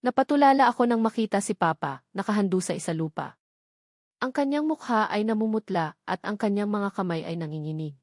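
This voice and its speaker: A woman with a Filipino accent reading a scripted first-person dramatic story in a solemn, measured delivery. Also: medium pitch, animated, darkish tone, no echo, studio quality. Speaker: audio drama narrator.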